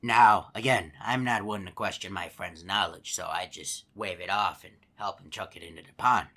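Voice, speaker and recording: A man's voice: sits low, normal speed, sharp tone, heavily acted. A man voicing a chatty male hippo animatronic who tells a long folksy story, rambling with lots of uh and you know. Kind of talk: game character monologue.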